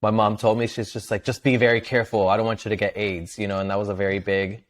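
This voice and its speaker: A man whose first language is Spanish speaks in a fairly low, soft voice. He talks casually as a podcast guest, often slipping small laughs between phrases. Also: little pitch movement, fast. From Venezuela. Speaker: guest, content creator. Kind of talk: casual interview conversation.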